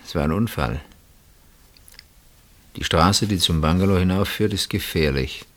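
A man's voice: low-pitched, measured pace, fairly bright tone. An actor in a German radio play, playing a suspicious husband who confronts his sister-in-law with insistent, dramatic questioning. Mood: cold, insinuating.

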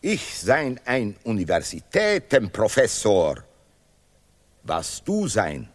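Pompous history professor, radio play, hugely swooping pitch, slow declamation, broken grammar, long reverb. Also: male voice, wildly swooping pitch, exaggerated voice. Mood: boastful. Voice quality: deliberately broken, ungrammatical German. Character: pompous self-praising history professor and Nobel laureate. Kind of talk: 1970s experimental radio play, stylised declamation. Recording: reverberant hall-like studio sound.

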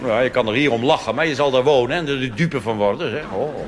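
A man's voice: mid-range voice, fast talker, slight background noise. A Dutch man performing a chatty, wry cabaret monologue full of asides, recorded live on stage. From Netherlands. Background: audience laughter and applause.

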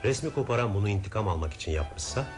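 A man in a radio drama playing a calm, inquisitive middle-aged detective with a measured, polite questioning manner. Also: on the low side, lightly acted. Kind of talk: 1970s radio drama acting. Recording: radio drama with music behind.

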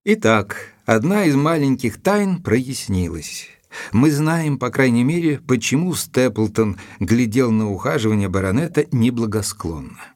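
An actor playing an earnest, mildly proud gentleman assistant to a detective, telling the story in a measured, literary way in an audio drama. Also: mid-range voice, lively intonation, dry recording, close to an everyday voice.

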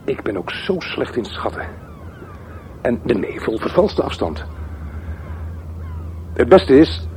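A brooding loner muses aloud in a radio drama. His pitch swings widely as he speaks in deliberate, poetic phrases that sometimes halt. Faint noise and outdoor ambience sit behind him. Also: big pitch swings, darkish tone. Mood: agitated, hesitant. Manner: deliberate, poetic, halting self-talk. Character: brooding solitary man musing darkly by railway tracks. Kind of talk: literary interior monologue in Dutch radio drama. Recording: noisy recording with outdoor ambience.

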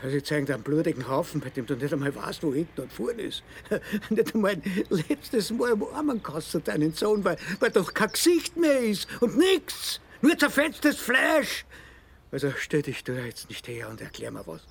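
A bitter, vengeful father in a radio play, his voice high and gravelly, breathy at the edges. His pitch leaps and drops wildly as cold menace tips into raging grief. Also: big pitch swings, normal speed, heavily acted. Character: vengeful grieving father, middle-aged man, bitter. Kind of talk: radio drama acting.

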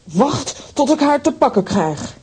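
An actress playing a fierce, easily agitated aunt in a children's audio play, speaking in exclamations with theatrical drama. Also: female voice, sits high, sing-song, unhurried, mellow. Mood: alarmed, combative.

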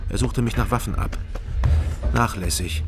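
A laconic male private detective, played by an actor, narrates a radio crime drama in a low voice. His sentences are terse and observant, with music clearly behind him. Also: normal speed, close to an everyday voice. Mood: tense, terse. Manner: terse, matter-of-fact. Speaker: first-person narrator of a crime radio play.

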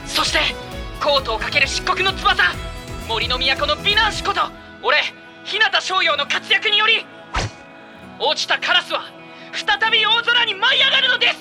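A woman voicing an energetic, boastful teenage boy on a volleyball team, excited and self-dramatizing. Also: extremely high pitch, moderate pace, over music. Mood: grandiose. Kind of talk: anime drama CD acting.

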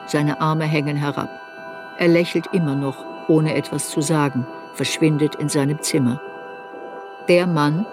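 A woman playing a reflective narrator who looks back on a girl's story in a literary radio play. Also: low-pitched.

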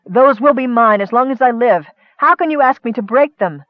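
Man playing defiant young cleric, earnest, emphatic, high-pitched, fantasy audiobook, dull dry recording. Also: very animated, normal speed, no room sound. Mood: anguished defiance. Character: anguished male young cleric, defiant and sincere.

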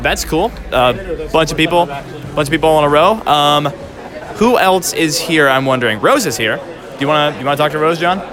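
A man's voice: fairly high pitch, moderate pace, crowd noise. A man working as a podcast interviewer, chatting informally and affirming what his guests say. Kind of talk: casual convention interview. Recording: convention recording with loud background music.

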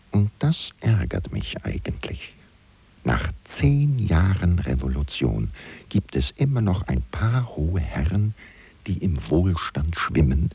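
A wry manservant of revolutionary Paris in a radio play. His very low voice is full of gravel and moves in lively swings. He confides in the listener with gossipy irony, as if sharing household secrets. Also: full character voice. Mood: confiding, indignant. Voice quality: gravelly, husky. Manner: confiding, ironic, addresses the listener. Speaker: actor playing a narrator figure. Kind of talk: theatrical radio play monologue.

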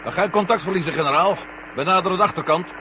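An actor playing a stubborn, optimistic astronaut with a dry, defiant manner in a 1970 Dutch radio drama. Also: male voice, sits high, quick pace, background noise, close to an everyday voice. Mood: urgent reporting. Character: Matt, stubborn optimistic American astronaut, adult man. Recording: muffled, humming 1970 radio recording.

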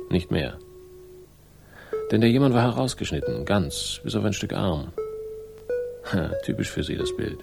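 An actor playing a cynical, brusque investigator in a 1960s German radio crime drama, dry and sardonic. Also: male voice, fairly low pitch, vocal fry. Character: cynical hard-boiled male investigator, wry and brusque.

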